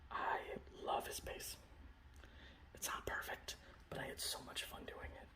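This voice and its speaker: A man softly whispering in a casual, rambling ASMR style, breathy and close to the microphone, showing off a hobby. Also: measured pace, poor audio. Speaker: ASMR video host. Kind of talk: ASMR, informal hobby show-and-tell.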